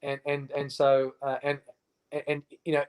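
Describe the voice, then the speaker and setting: A man's voice: medium pitch, monotone, moderate pace, clear, resonant tone. A man in his fifties, a guest in a casual interview, who speaks emphatically with many fillers and restarts. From Australia. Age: 50s.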